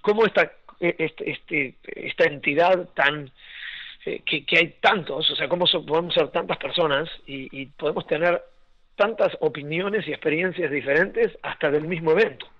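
Man, Argentine accent, slightly high voice, dry dull lo-fi sound, rambling with fillers. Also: moderate pace, no echo. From Argentina and Venezuela. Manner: rambling, many restarts and fillers, laughs often. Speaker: guest. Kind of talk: casual interview storytelling.

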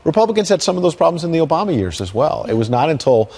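A man's voice: a little high, very animated, rapid-fire, warm tone, husky. A man giving political commentary on a television news panel, repeating key words for emphasis.

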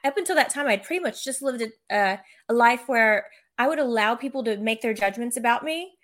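A woman author and coach explains her thinking in an earnest, conversational way, stumbling over a word now and then. Her voice sits somewhat above the usual pitch. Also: expressive, a little fast, a bit bright. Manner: earnest, explanatory, a few restarts. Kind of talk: casual conversational chat.

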